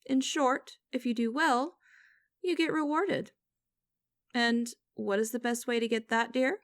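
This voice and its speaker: A woman playing a cold, condescending female boss in an ASMR audio roleplay, speaking to the listener in a deliberate, commanding, mocking way. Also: on the high side, steady tone, no echo, clean studio sound, lightly acted. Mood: smug, condescending. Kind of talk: ASMR roleplay acting, direct address to listener.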